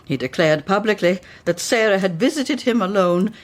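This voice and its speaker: A woman's voice: medium pitch, lively intonation, moderate pace, close to an everyday voice. An actress playing a proud, blunt older Victorian mother in a period radio drama, speaking in an emphatic, formal way. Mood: grave, narrating. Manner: emphatic, formal, pronouncing judgements.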